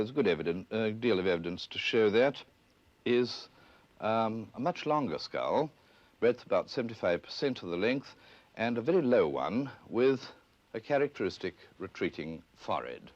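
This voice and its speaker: A middle-aged English man, a scholar being interviewed, explaining in a halting, hesitant but precise manner. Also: on the low side, sing-song, no echo. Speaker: academic anthropologist being interviewed. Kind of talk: unscripted expert explanation. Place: stone church crypt. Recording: dull, band-limited archive film sound.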